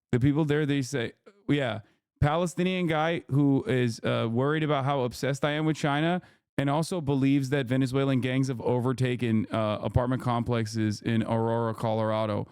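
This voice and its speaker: Male streamer, mid-pitched, heated and profane, rambling rant, dry close sound. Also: a little mellow, smooth, no echo. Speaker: live streamer. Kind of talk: casual live-stream rant to chat.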